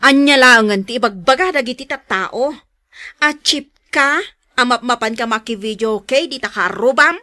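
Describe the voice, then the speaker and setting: A woman's voice: fairly high pitch, moderate pace, pushed and bright, no echo, clean studio sound. A woman playing a haughty, irritable wife in an Ilocano audio drama, with a scolding, snappish delivery and an Ilocano accent. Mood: scornful scolding. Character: haughty, irritable middle-aged wife who disdains her neighbors.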